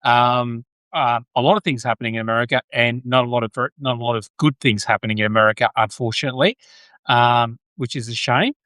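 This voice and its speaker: A man's voice: mid-range voice, fairly even intonation, sharp tone, no room sound, rough recording. Australian man commentating, close dry sound. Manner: halting, repeats and restates phrases, breaks off to greet viewers. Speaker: podcast host, political commentator. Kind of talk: casual live-stream political commentary. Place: Brisbane, Australia.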